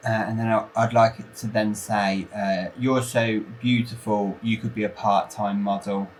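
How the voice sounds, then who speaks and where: A man making prank phone calls in a deadpan, slightly hesitant way. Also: on the low side, moderate pace, music in the background, poor audio. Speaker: prank caller. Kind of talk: casual phone prank chat.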